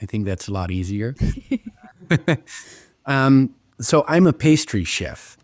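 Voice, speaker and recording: A man from the Netherlands, a pastry chef speaking as a podcast guest, halting often on "uh"s and restarts. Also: mid-range voice, lively intonation, dry recording. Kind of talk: casual interview chat.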